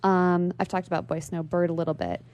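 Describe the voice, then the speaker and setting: A woman's voice: on the low side, steady tone, brisk, darkish tone, clean tone. A woman speaking as an interview guest in a literary radio discussion, articulate and bookish.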